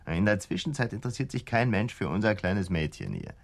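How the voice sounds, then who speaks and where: Radio drama villain: a scheming militant ringleader, very deep and gravelly, sardonic. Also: male voice, expressive, a little fast. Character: cold, scheming male leader of militant bomb plotters. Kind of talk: German radio drama acting.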